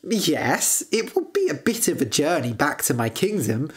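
A woman's voice: sits low, very animated, moderate pace, slightly rough, heavily acted. A woman voicing a timid, sensitive young prince in a storybook tale, with a hesitant and emotional manner. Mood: eager, coaxing.

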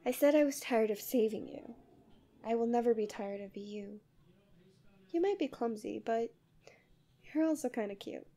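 Soft, high-voiced tender guardian angel played by a woman. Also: moderate pace, long pauses, natural voice. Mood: firm, fond. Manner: soft, soothing, often breathy half-whispers. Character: tender, possessive female guardian angel in love with a human. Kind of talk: ASMR roleplay, intimate.

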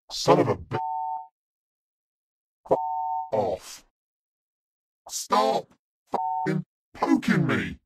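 Casting: furious sweary game chef with demonic filter, very drawn-out delivery, noisy capture. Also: male voice, mid-range voice. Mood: exasperated, insulting. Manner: barking short insults and kitchen orders. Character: angry, foul-mouthed male celebrity chef with demonic voice. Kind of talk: mobile game character voice lines.

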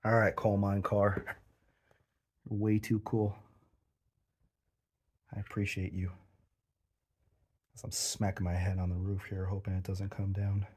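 Husky-voiced man exploring an old mine. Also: low-pitched, level tone, a little slow, pauses often, darkish tone. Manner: enthusiastic, explains as he goes. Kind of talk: narrated exploration vlog. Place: cramped abandoned underground mine.

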